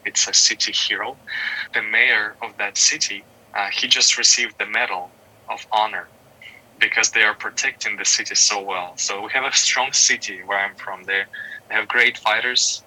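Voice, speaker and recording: A man's voice: lowish voice, fairly even intonation, normal speed, pushed and bright, rough recording. A man in his thirties from Ukraine, an interview guest explaining things earnestly and in detail, often saying "you know". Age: 30s. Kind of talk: recorded interview.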